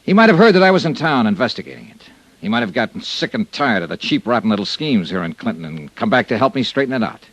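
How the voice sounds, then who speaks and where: A man's voice: mid-range voice, very animated, quick pace, a bit bright, dry recording, poor audio. Tough american private investigator guy, clipped and dry, voice really moves up and down, old radio drama. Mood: probing. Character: tough, hard-boiled male insurance investigator. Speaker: lead actor and narrator of radio detective serial. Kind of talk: old-time radio detective acting and narration. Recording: old radio broadcast recording.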